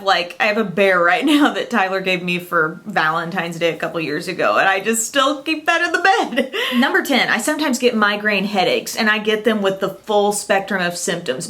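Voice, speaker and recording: A young woman talks straight to her camera in a relaxed vlog. She is chatty and upbeat, sprinkling her talk with "um" and "like". Her voice sits at an ordinary middle pitch. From Illinois, US. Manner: chatty, upbeat, with frequent fillers and small laughs. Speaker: beauty vlogger. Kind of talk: casual chat to camera.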